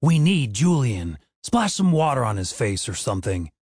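An anxious adventurer at the end of his patience , voiced by a man reading a fantasy audiobook. He scolds and pleads indignantly at a medium pitch. The recording is close and dry. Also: very animated, moderate pace, a little mellow, husky, dry recording. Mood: exasperated. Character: exasperated, scolding male adventurer, the party's anxious voice of reason.

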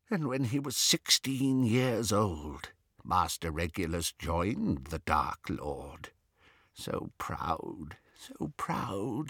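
A man voicing a wretched, groveling old male house-elf who wails shrilly or mutters in a muffled hush. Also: dry recording, studio quality, heavily acted. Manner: shrill and frantic when wailing, muffled and hushed when recounting. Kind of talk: audiobook character voice.

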